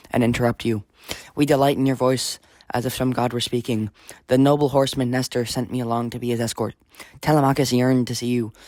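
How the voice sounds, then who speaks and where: A man's voice: mid-range voice, darkish tone, no echo, natural voice. A man voicing a courteous, earnest young nobleman, formal and respectful, in an audiobook.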